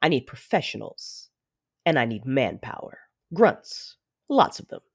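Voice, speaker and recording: A woman playing a commanding, coolly menacing Sith lord in an audio drama, speaking in a measured, imperious, formal way. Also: very deep voice, very animated, breathy edge, full character voice.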